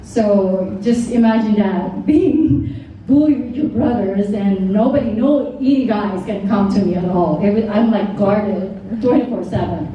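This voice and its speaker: A woman's voice: medium pitch, a little slow, lo-fi sound. A woman from the Philippines with a Filipino accent, giving a rambling, heartfelt speech at a party table, full of fillers and stumbling English. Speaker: modeling agency owner giving a speech. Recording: distant mic in a very echoey room with faint noise.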